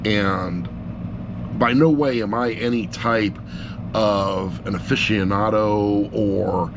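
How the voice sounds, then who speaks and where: A man's voice: lowish voice, steady tone, slow pace, noisy background. A middle-aged man gives a casual, unscripted review in a rambling, blunt, self-deprecating way, picked up by an action camera sitting on a laptop. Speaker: amateur audiobook reviewer.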